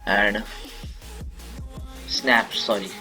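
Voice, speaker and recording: Halting Indian man with a low voice. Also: steady tone, pushed and bright, soft background music. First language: Marathi. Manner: halting, many restarts and corrections. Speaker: narrator. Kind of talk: retelling a fan story in plain speech.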